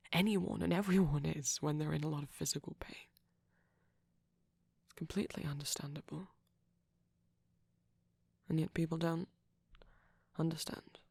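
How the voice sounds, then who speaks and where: A performer playing a shy, caring vampire boyfriend in an intimate ASMR roleplay, speaking softly and breathily with hesitant pauses. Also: medium pitch, dry recording. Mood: sympathetic, earnest. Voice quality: breathy, often near whisper. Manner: soft-spoken, breathy, halting with gentle pauses.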